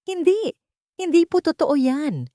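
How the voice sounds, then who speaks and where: A woman's voice: high-pitched, big pitch swings, a little slow, dark tone, no echo, studio quality, voice pushed up. A woman voicing a humble, tearful, protective housemaid in an audiobook drama, pleading and deferential. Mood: desperate.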